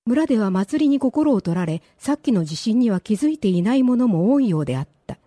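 A woman's voice: on the high side, sing-song, brisk, mellow, no echo, clean studio sound. A woman narrating a radio drama as a scripted, dramatic story.

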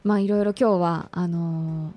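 A woman's voice: fairly low pitch, fairly even intonation, normal speed, warm tone. A woman co-hosting a radio show, introducing it politely in short, hesitant phrases. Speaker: radio co-host.

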